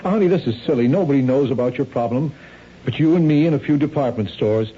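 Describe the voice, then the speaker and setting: An actor playing a reassuring, practical husband with a husky, dark voice in a radio drama. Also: male voice, medium pitch, fast talker, close to an everyday voice. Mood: reassuring, patient. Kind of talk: 1970s radio drama acting. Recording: dull lo-fi old radio recording.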